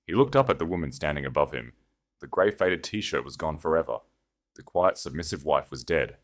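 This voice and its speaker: A man reading scripted audiobook narration in a dramatic storytelling style. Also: low-pitched.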